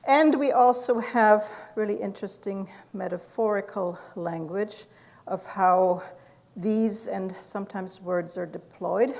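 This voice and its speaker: A woman lecturing at a university with a gravelly edge to her voice. She talks in an explanatory way, filling pauses with "uh" and laughing softly now and then. Her pitch sits in an ordinary middle range. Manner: explanatory, with frequent fillers and soft laughs. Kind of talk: academic lecture.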